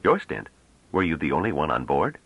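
A man's voice: very deep voice, very animated, a little fast, vocal fry, fairly bright tone, husky, no room sound, muffled recording, heavily acted. A man voicing a blunt, irritable, suspicious male space traveller, curt and demanding, in an audiobook story.

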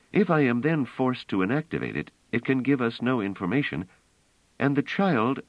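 A man voicing a gentle but firm, protective young woman in an audiobook, soothing and insistent. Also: mid-range voice, no echo, muffled recording, pitched above the performer's own voice. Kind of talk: audiobook character voice.

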